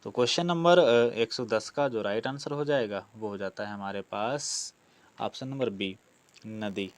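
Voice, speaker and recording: A man's voice: fairly low pitch, measured pace. A man teaching an online exam-prep class, reading out quiz questions and explaining the answers, often repeating key words. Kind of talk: online lesson, working through quiz questions.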